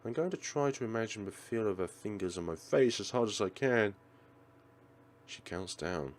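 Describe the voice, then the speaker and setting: A man narrating a visual novel aloud in an expressive, theatrical way, as a let's play host. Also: fairly low pitch, normal speed. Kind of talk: dramatic read-aloud narration.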